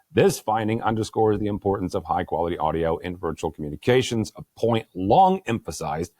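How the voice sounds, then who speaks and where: A man with a deep voice hosting a news roundtable, speaking into a good studio microphone and dropping easygoing, joking asides. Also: darkish tone, no echo. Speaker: host.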